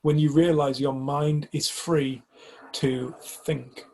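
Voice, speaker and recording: A man's voice: a little high, fairly even intonation, slow pace, mellow, low-quality recording. A man from the UK in a casual group discussion, talking reflectively and hesitantly, often restarting his sentences. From United Kingdom. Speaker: community group member.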